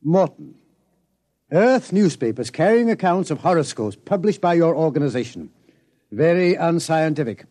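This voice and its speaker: An actor playing an earnest, formal astronomer with precise, proper diction, in old-time radio drama style. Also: male voice, fairly high pitch, sing-song, normal speed, mellow. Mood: formal, grave. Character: earnest, formal astronomer, anxious scientific gentleman.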